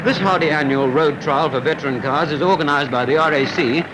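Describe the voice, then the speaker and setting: High-pitched newsreel man over music. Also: poor audio. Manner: wry, lightly humorous. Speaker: newsreel-style sports narrator. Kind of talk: scripted 1940s sports film commentary. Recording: vintage 1940s film soundtrack, music behind. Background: background music.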